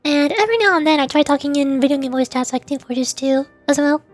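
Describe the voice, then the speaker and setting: A woman chatting casually on a game livestream in a hesitant, halting, self-deprecating way. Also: sits high. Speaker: livestreamer, VTuber voice actor. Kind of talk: casual livestream chat while playing a game.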